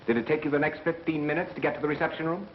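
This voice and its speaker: An actor with an American accent playing a calm, methodical engineer turned sleuth in a 1930s film, measured and wry. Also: male voice, a little high, lightly acted. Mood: probing, accusing. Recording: muffled, hissy 1930s film soundtrack.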